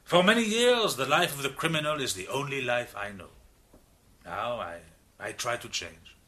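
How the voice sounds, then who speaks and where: An actor playing a big, expansive, reformed French criminal in a radio drama, with a French accent and an effusive, theatrical manner. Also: male voice, medium pitch, animated, moderate pace, pushed and bright. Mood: earnest, confessional. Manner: effusive, theatrical, with hesitant asides.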